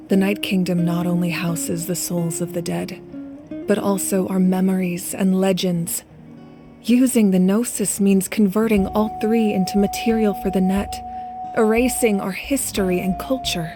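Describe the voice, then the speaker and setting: An actress plays a resolute young woman who leads a nation in a video game. She speaks at a medium pitch with solemn, measured authority. Light music plays underneath. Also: fairly even intonation, lightly acted. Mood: grave, resolute. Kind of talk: dramatic video game dialogue.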